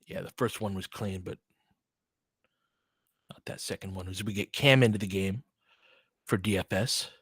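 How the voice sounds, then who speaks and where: A man's voice: deep voice, normal speed, long pauses, breathy edge, dry recording, studio quality. A man doing casual live basketball play-by-play in short clipped phrases, with informal slang and a fan's partisan reactions. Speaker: play-by-play commentator.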